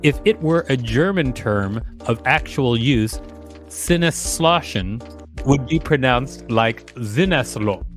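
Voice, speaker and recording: A chatty man co-host reads an article aloud and slips in teasing asides. His voice sits at a middling pitch for a man. Faint music hums behind him. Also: expressive, slow pace. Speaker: podcast co-host reading articles. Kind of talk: reading aloud with banter.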